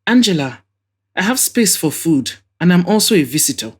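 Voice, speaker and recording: A woman voicing an excitable, cheeky female neighbour who exclaims emphatically in an audio drama. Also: on the low side, normal speed, breathy edge.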